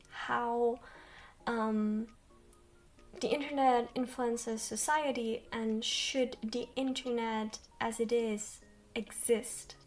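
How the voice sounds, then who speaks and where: Young woman streamer, soft quiet high voice, slow unhurried chat, sits a little back from the mic. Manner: slow, rambling, many ums. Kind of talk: soft-spoken livestream chat. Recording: distant from mic.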